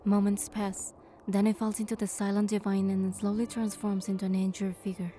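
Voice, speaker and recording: A woman's voice: mid-range voice, little pitch movement, fairly quick, mellow, faint music behind, natural voice. A woman voicing a proud goddess in a game, delivering dramatic, solemn scripted narration. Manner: solemn, storytelling. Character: wounded goddess narrating her suffering with pride.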